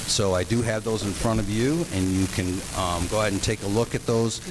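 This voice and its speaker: A man with a raspy voice, presenting a superintendent's report at a board meeting in a loose, casual way with frequent fillers. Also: on the low side, brisk. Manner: relaxed, filler-laden speaking. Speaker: school superintendent. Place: meeting room. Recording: steady background hiss.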